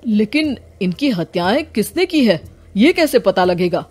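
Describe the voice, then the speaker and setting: A woman voicing a methodical senior male police officer who questions people officially in an animated moral story. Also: mid-range voice, measured pace. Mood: inquiring.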